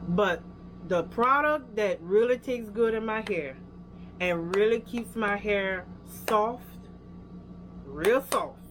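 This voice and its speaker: A woman's voice: mid-range voice, expressive, takes it slowly, noisy background. A young woman with a Louisiana accent, casually reviewing hair products and chatting straight to her viewers with lots of fillers. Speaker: hair care vlogger.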